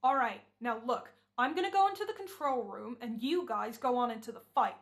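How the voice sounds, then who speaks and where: A woman acts an authoritative show producer with a high voice. The orders are brisk and firm, in slangy old-time radio style. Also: lightly acted. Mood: commanding. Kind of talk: old-time American radio comedy-horror acting.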